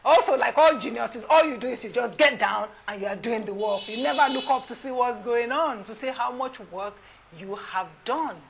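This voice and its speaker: A woman hosting a live TV studio interview, effusive and full of quick restarts. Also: on the high side, gravelly, a little hiss or hum. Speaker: TV talk show host.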